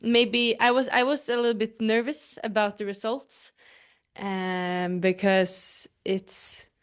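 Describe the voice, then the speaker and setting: A woman's voice: mid-range voice, flat delivery, slow pace, clear, resonant tone. A woman with a Swedish accent, a native Swedish speaker, giving hesitant interview answers and searching for English words. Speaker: actress interviewee.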